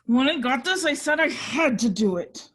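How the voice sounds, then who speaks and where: A woman's voice: high voice, animated, normal speed, fairly bright tone, slightly rough, exaggerated voice. A woman playing a jealous, lovesick teenage girl in a screenplay table read, ranting in petulant teen slang.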